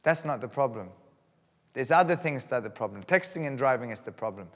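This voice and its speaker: A man's voice: medium pitch, lively intonation, fairly quick. A man from Sweden giving an earnest, emphatic personal speech to a live audience, repeating phrases for weight. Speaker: live event speaker. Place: event hall. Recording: long hall reverb.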